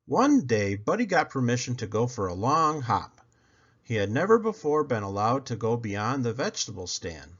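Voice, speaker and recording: A man narrating a children's book aloud as an expressive storyteller. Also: medium pitch, moderate pace, muffled recording. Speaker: storyteller, read-aloud host.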